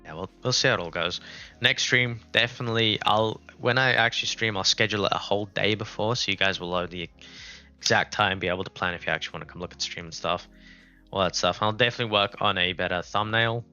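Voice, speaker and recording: A South African man whose first language is Afrikaans, with a lowish but bright, forward voice, rambles and thinks out loud in a relaxed, unscripted way. The audio is a little dull and lo-fi, with faint music running under the speech. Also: quick pace. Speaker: game streamer. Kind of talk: casual live-stream chat. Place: indoors at a laptop. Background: music playing.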